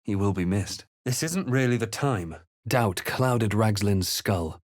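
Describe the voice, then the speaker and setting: A man playing a composed, wry fantasy adventurer for a video game, speaking in a measured, literary, theatrical way. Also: lowish voice, lively intonation, no echo, clean studio sound. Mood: wry, alert. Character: male fantasy adventurer hero narrating his exploits, composed, wry. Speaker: video game player-character voice actor. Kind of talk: dramatic fantasy game voice lines and narration.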